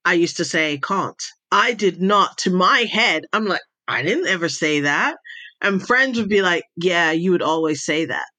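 A middle-aged woman speaks in a bright, forward voice with an accent that sits between British and American. She is recorded close and dry. Her delivery is casual and chatty, like a guest telling her own story with plenty of asides. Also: fairly low pitch, lively intonation, a little slow, no echo. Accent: mixed British and American. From raised between the Middle East, Europe and the United States. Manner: chatty, digressive. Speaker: podcast guest, intelligence advisor and speaker.

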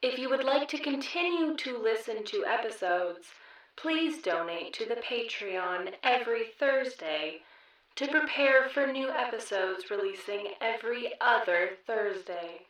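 Woman as deadpan automated voicemail, heavy echo. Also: fairly high pitch. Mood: deadpan, formal. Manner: deadpan, formal, measured announcing. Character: impersonal, deadpan automated female voicemail system. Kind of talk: automated phone announcement in audio drama. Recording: heavily reverberant, distant voice.

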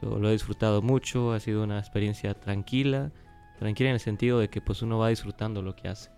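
A man's voice: fairly low pitch, steady tone, quick pace, creaky, mellow, soft background music. A man telling a chatty anecdote as part of a missionary testimony. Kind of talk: storytelling testimony.

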